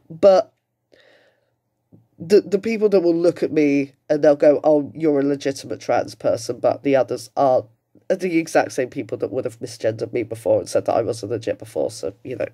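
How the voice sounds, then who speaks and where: A man's voice: mid-range voice, mellow. A British man in his late twenties giving casual, emphatic, sweary reaction commentary. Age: late 20s. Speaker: commentator reacting to another person's video.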